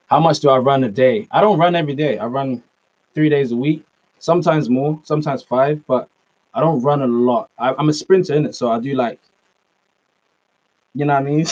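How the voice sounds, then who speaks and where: A young man with a British accent talks casually to viewers on a live stream, relaxed and chatty, often tossing in man and bro. His voice sits at a middle pitch and comes through a laptop mic with a slightly dull, lo-fi sound. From Norway, raised in the UK. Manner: laid-back, chatty, answers viewer comments, says man and bro a lot. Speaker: fitness streamer.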